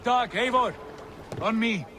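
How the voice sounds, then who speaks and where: A man's voice: very high voice, slow pace, noisy background. A man playing a commanding, honourable Norse jarl in a video game cutscene, speaking in a formal, declamatory way. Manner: declamatory, formal speech of a leader. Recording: loud music behind the voice.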